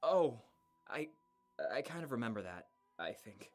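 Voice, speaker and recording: A woman's voice: a bass voice, sing-song, a little slow, faint music behind, exaggerated voice. A woman voicing an easygoing, nonchalant teenage boy in a visual novel, talking in a casual, hesitant, placating way. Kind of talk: visual novel character acting.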